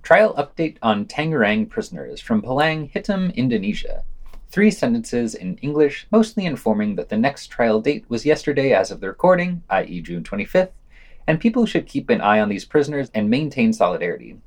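A man reads a podcast news digest from a script, with a pitch that moves around a good deal. His delivery is wry and sardonic, mixing quoted passages with his own commentary. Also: very animated, fairly quick.

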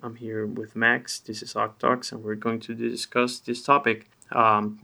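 A man with a creaky, medium-pitched voice hosts a podcast. He reads prepared text and chats in a plainspoken, casual way. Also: flat delivery, a little slow, bright, forceful tone. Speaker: podcast host. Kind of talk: reading a scripted topic, casual chat.

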